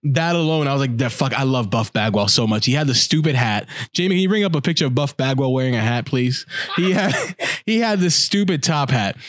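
A man's voice: mid-range voice, expressive, a little fast. A man co-hosting a podcast about wrestling, swearing freely and gushing about his favorite wrestlers in loose, laughing casual chat. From United States. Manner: profane, enthusiastic, laughing often. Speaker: podcast co-host.

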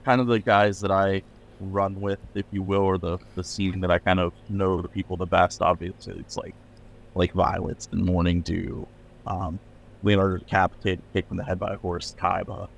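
A man's voice: sits low, little pitch movement, normal speed, creaky, a little hiss or hum. A middle-aged man from Pennsylvania, a record label owner, chatting loosely in a podcast interview with many fillers and restarts. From Pennsylvania, USA.

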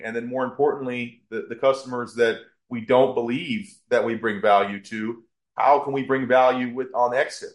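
A man with a mid-range voice talks as a podcast guest who runs a business. He explains in a conversational way, restarting and doubling words as he works through each point. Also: medium pitch.

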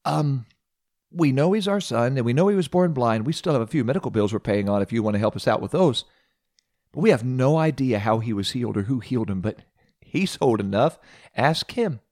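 A man's voice: mid-range voice, animated, fairly quick, darkish tone, dry recording, studio quality. A man voicing a wary parent of a grown son, hesitant and evasive, in a storytelling sermon.

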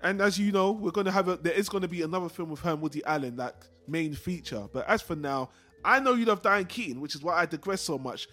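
A high-pitched male podcast host talks quickly and casually about films, with music underneath. He rambles and digresses freely, sharing his opinions as he goes. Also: pushed and bright. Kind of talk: casual film discussion podcast.

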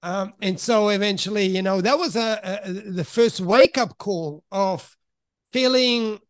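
A middle-aged man from Italy, raised in Switzerland, frankly telling his life story as a podcast guest and often pausing to search for words. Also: sits high, no room sound, clean studio sound. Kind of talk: candid personal storytelling in conversation.

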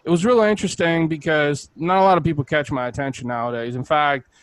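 A man hosting a podcast with a hoarse voice, blunt and crude-spoken, in casual chat. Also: on the high side, fast, dry recording. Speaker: podcast host. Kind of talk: casual podcast intro with profanity.

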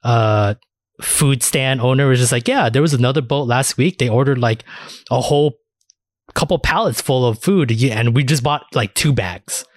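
A man's voice: medium pitch, moderate pace, no room sound, studio quality. A man hosting a casual anime discussion podcast, chatting informally with plenty of filler words and swearing. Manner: informal, profanity-laced, filler-heavy talk. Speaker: podcast host.

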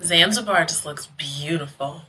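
A woman from Guyana and Trinidad, a podcast guest talking in a lively, emphatic, sassy way. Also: deep voice, a little slow, poor audio. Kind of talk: casual podcast chat.

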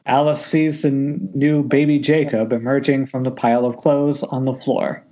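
A man's voice: mid-range voice, flat delivery, moderate pace, warm tone. Wry man narrating, dull call audio. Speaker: narrator reading stage directions. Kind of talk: informal script table read narration.

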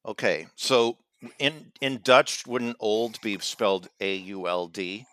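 A man co-hosting a podcast, rambling and teasing with halting restarts in casual comedic chat. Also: lowish voice, fairly bright tone, no echo. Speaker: podcast co-host.

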